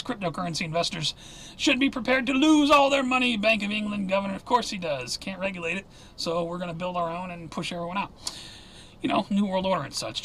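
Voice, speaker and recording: A man hosting his own news rundown, reading headlines quickly with sarcastic asides and a voice going hoarse. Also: high-pitched, bright, forceful tone. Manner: rapid-fire, sarcastic, jumps between headlines with asides. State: losing his voice. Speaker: news commentary host. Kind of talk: casual solo news rundown.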